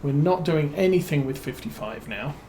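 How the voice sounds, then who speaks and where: A man with a British accent giving relaxed let's-play commentary as a streamer, musing and thinking aloud with plenty of fillers. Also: fairly high pitch, moderate pace, a little hiss or hum, poor audio. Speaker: game streamer.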